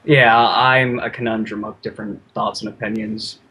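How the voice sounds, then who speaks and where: A man's voice: on the low side, level tone, normal speed, sharp tone. Man chatting casually over background noise. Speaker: podcast guest and YouTuber. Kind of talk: casual conversation. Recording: noisy, ambient background noise.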